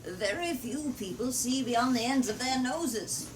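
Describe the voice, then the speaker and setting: Woman playing an exuberant old bard, pitched up. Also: expressive, a little slow. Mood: assured. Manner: archaic, exclamatory, teasing speech. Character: kindly, exuberant, blustery old male bard. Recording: distant mic in noisy room.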